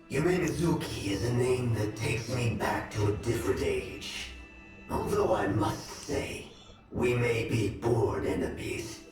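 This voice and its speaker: A man voicing a scheming, polite and menacing nightmare monster in a video game, with theatrical drawn-out delivery and echo. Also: mid-range voice. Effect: heavy echo reverb. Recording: echoing, distant game audio with music.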